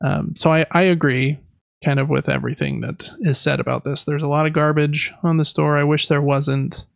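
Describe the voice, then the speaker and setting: A man's voice: fairly high pitch, steady tone, moderate pace, vocal fry, dry recording, lo-fi sound. A man co-hosting a podcast, chatting casually about video games. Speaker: podcast co-host.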